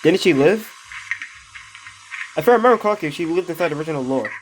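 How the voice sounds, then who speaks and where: A man's voice: fairly high pitch, lively intonation, fast talker, over music, low-quality recording. A man giving casual, joking reaction commentary in slangy speech, full of exclamations. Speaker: reaction video host.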